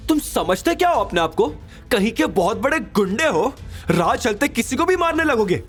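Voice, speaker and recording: A man's voice: very high-pitched, big pitch swings, moderate pace, a bit bright, slightly rough, soft background music, voice pushed up, heavily acted. A man voicing an angry, scornful young woman, scolding sharply and indignantly in an audio drama.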